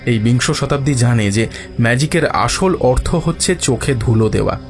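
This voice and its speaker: Casting: male actor for sharp, self-assured sleuth, middle register, calm reasoning, audio mystery with musical bed. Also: mid-range voice, lightly acted. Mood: sceptical, probing. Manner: measured, reasoning, dryly ironic. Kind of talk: dramatised Bengali detective audio story. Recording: music behind the voice.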